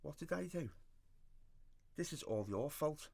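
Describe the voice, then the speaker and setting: Menacing, high-pitched gravelly man. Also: animated, measured pace, a little mellow, no room sound, heavily acted. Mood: cold, accusing. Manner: threatening, accusing, contemptuous. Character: angry, menacing, abusive fiancé. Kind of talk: radio drama acting.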